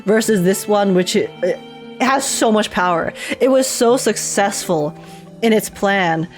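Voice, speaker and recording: A woman streamer giving casual, chatty live commentary on a game, thinking aloud and quick to laugh. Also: mid-range voice. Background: faint game music.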